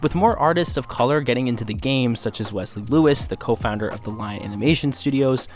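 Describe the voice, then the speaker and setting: A man reading scripted documentary narration in a measured, formal, informative manner. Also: mid-range voice, darkish tone. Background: faint music.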